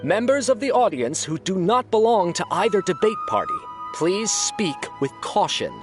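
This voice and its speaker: A man's voice: high voice, big pitch swings, normal speed, over music. An actor voicing a stern male debate moderator in a game, officious and formal. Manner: officious, formal, calls for order. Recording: game cutscene with faint score.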